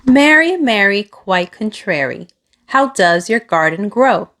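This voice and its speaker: A woman reading a children's picture book aloud, with expressive, deliberate phrasing aimed at young listeners. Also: medium pitch. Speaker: children's storybook reader.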